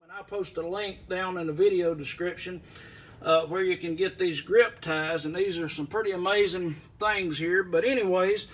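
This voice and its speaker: A man's voice: high voice, fairly even intonation, moderate pace. A man giving a casual hands-on walkthrough in a folksy, rambling way. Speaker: aviation vlogger. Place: inside a small plane at an airport.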